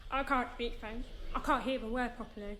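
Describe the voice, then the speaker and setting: A woman's voice: a little high, fairly even intonation, a little fast, bright, forceful tone, a little hiss or hum. A woman playing a curious, blunt and persistent deaf schoolgirl in a naturalistic radio drama. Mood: inquisitive.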